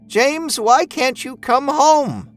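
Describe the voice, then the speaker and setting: A man's voice: extremely high pitch, slow, faint music behind, pitched above the performer's own voice. A man voicing an anxious, grieving older mother in a horror audio story, pleading and fretful. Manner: pleading, fretful, self-effacing.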